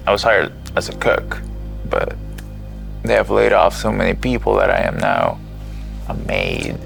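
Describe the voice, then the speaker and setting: Very creaky, kindly male servant. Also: on the low side, huge pitch swings, a little slow, faint music behind, exaggerated voice. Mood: concerned. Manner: gentle, concerned. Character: kind, cautious male servant, cook turned maid, protective friend. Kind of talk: audio drama character acting.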